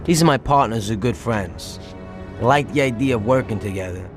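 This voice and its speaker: A man's voice: medium pitch, fairly quick, soft background music, lightly acted. A man playing a confident, businesslike mobster in a video game cutscene. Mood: businesslike, friendly. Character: confident, businesslike male mobster running a smuggling deal.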